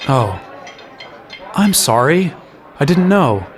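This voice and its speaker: Wry, kindly young fantasy hero. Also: male voice, on the high side, sing-song, takes it slowly, faint music behind. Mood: gentle, earnest. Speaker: actor and narrator in an audio drama. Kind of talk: acted first-person narration and dialogue.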